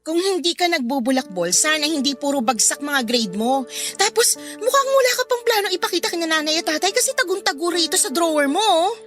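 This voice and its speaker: An actress playing a responsible, exasperated elder sister in a Filipino radio drama, reproachful and insistent. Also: female voice, extremely high pitch, expressive, a little fast, a bit bright, faint music behind.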